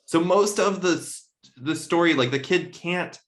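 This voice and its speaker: A man's voice: a little high, a little slow, fairly bright tone. Man in casual, emphatic book talk. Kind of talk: casual literary discussion.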